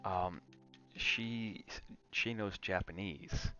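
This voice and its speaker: A man's voice: deep voice, extremely slow, pushed and bright, lo-fi sound, soft background music. A man chatting casually on an ASMR gaming livestream, rambling and drifting between chat and game reactions. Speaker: game streamer.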